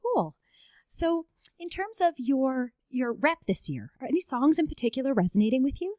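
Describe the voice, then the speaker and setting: A woman's voice: high-pitched, big pitch swings, moderate pace, a little mellow, dry recording, studio quality. A woman interviewing a student group, upbeat and encouraging with frequent short affirmations. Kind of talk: casual interview.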